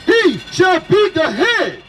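A man reading scripture aloud on the street in a slow, shouted declamation. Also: very high voice, sing-song, far from the mic, music in the background. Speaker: scripture reader.

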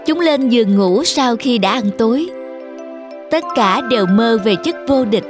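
A woman's voice: on the high side, expressive, measured pace. A woman narrator reading a scripted children's story. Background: music.

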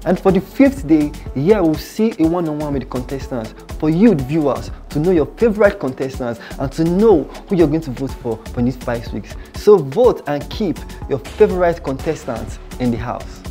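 A man co-hosting a TV talent-show recap, presenting in a steady, scripted style in a studio. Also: a little high, moderate pace, few pauses, soft background music. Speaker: TV show co-host.

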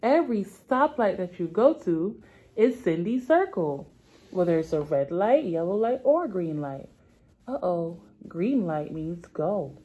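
A woman's voice: fairly low pitch, sing-song, unhurried, dark tone, slightly rough, dull audio. A young woman speaking as a preschool teacher, reading aloud to small children in simple, repeated, stretched-out phrases. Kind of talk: children's read-aloud lesson. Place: indoor classroom.

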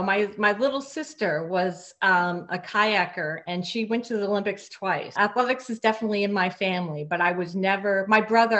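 A woman's voice: medium pitch, a bit bright. Earnest woman on a video call. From Hawaii. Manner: earnest, many repeated words and restarts. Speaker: guest, real estate broker. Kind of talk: casual interview over video call. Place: home living room. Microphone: laptop webcam on video call.

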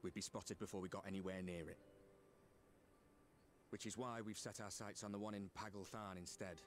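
Fantasy game soldier male actor: British, earnest, self-doubting but resolute, plain-spoken, on the deeper side. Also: steady tone, normal speed, natural voice. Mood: steady. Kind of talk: game cutscene voice acting.

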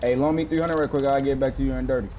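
Male gamer, American, rapid-fire slang, dull lo-fi voice chat. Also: mid-range voice, fairly even intonation. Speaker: online roleplay game player. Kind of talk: casual street-slang game chat. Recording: dull lo-fi game chat, faint noise.

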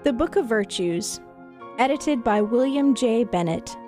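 A woman storyteller reading children's tales in an expressive audiobook narration style. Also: fairly high pitch, measured pace, soft background music. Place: radio studio.

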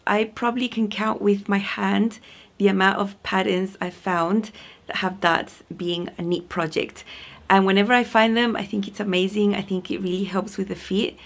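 A woman in her forties with a croaky, sore voice, chatting cheerfully to the camera in her sewing room. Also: medium pitch. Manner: cheerful, chatty, laughs often. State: hoarse, sore voice. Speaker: sewing vlogger. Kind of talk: casual vlog chat. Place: home sewing room, indoors.

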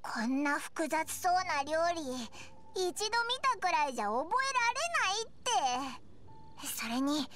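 An actress playing a tiny, childlike, cheeky fairy companion in an anime game, with a squeaky voice. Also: female voice, very animated, unhurried. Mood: whiny doubt. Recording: clear music behind studio voice.

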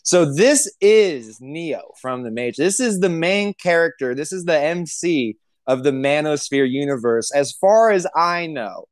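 A man's voice: on the high side, animated, measured pace, hardly any pauses, dry recording. A man co-hosting a podcast in casual comedic chat, with a sarcastic, profane, rambling way of talking. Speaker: podcast co-host.

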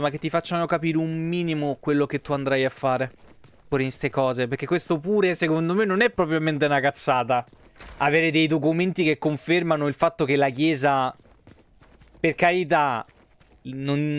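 A man's voice: fairly high pitch, clear, resonant tone. A man, giving casual, sweary, grumbling live game commentary into a close mic. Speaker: game streamer. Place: small indoor room.

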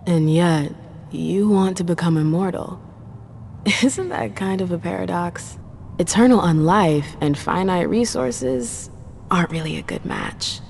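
A woman's voice: fairly low pitch, measured pace, creaky, a little mellow, slight background noise. A woman playing a cool, world-weary vampire club owner in a video game, sardonic and self-assured. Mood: dry, challenging.

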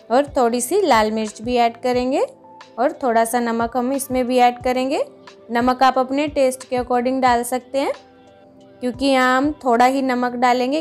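A woman hosting a home cooking video, patiently explaining each step in a calm instructional voice-over. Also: on the high side. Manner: patient, explains each step, often says "dekhiye, lijiye". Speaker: cooking channel host.

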